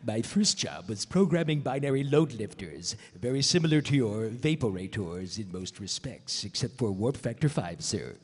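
A man voicing a pompous, fretful robot butler with theatrical, drawn-out phrasing in a live script read. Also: medium pitch, lively intonation, breathy edge. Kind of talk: live cartoon-voice table read.

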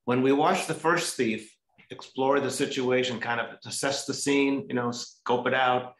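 A man's voice: medium pitch, steady tone, a little slow, a bit bright. Older man in an echoey room. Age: older, 60s or 70s. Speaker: discussion participant. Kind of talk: thoughtful spoken film analysis. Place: room at home, indoors. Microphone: video call, computer at some distance. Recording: roomy, echoey video-call audio.

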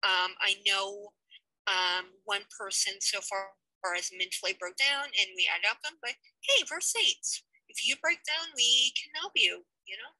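A woman's voice: medium pitch, a little slow, pushed and bright, no room sound, poor audio. A young woman in her twenties, a channel host making an earnest, rambling casual update from a small room on a headset mic. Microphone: headset mic on webcam.

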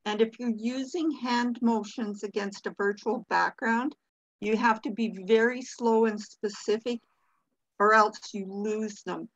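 Older woman, fairly high voice, dull call audio. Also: fairly even intonation, a little slow, no echo. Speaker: workshop participant. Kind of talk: informal comment on a video call. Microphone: video call computer mic.

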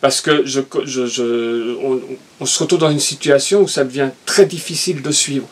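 A middle-aged man co-hosting a casual chat, talking in an animated, opinionated way while gesturing. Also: medium pitch, moderate pace. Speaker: co-host, father. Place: room with shelves of toys and merchandise.

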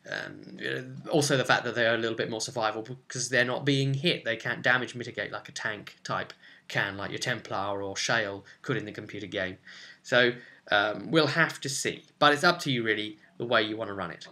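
A young man presents an informal guide to camera from a room at home. His voice is bright and forward, sitting at an average pitch. He rambles conversationally and often turns statements into little questions. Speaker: tabletop gaming channel host. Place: small furnished room at home, indoors.

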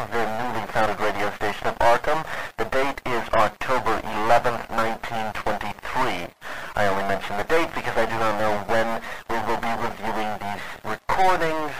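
A noisy old phonograph-style recording carries a male actor as a 1920s news anchor around thirty. He is formal and precise, yet uneasy and halting, in the manner of vintage radio drama. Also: mid-range voice, close to an everyday voice. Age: 30s.

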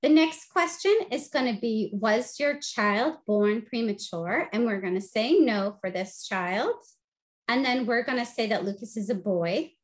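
A middle-aged woman with a high-pitched voice, teaching a webinar over a video call with an upbeat, friendly delivery full of "um"s. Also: measured pace. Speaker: presenter and teacher. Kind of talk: instructional webinar presentation. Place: home office, video call.

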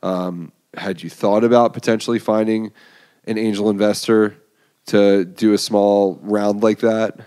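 A man's voice: fairly low pitch, fairly even intonation, measured pace, mellow, smooth. A male podcast host asking probing, curious questions. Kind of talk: interview questions.

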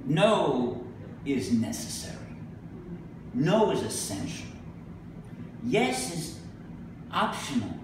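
A middle-aged man giving a live poetry reading, speaking deliberately with emphatic pauses. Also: a little high. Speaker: poet. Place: indoor venue with a staircase, live reading. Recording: echoey room from a distance, noisy.

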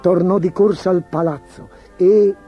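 A man's voice: sits high, steady tone, measured pace. A man narrating a scripted fairy tale for children as a storyteller. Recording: dull, muffled lo-fi track. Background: music.

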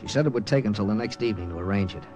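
A man's voice: lowish voice, very fast, lo-fi sound, lightly acted. A man acting a tough, grim American intelligence captain who narrates an old radio drama tersely. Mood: tense narration. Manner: terse, hard-boiled. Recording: old radio recording with music behind.

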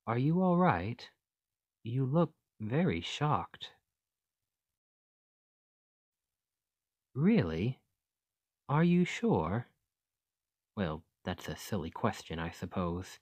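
ASMR roleplay: courteous, fascinated scholar-archaeologist, played by a man, fairly low pitch, articulate and thoughtful, dry recording. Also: a little mellow, breathy edge, no room sound, full character voice. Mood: astonished. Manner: formal, articulate, musing delivery.

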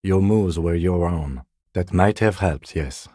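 An actor playing a calm, methodical middle-aged police lieutenant in a video game, measured, understated and dryly wry, with a husky voice. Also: male voice, low voice, no room sound, studio quality. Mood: composed, wry. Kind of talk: video game character dialogue.

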